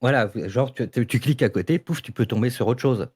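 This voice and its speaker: Male debater, medium pitch, informal and opinionated, halting delivery with repeated words. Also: a little mellow, breathy edge. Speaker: audio fiction debate participant. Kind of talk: casual opinionated debate talk.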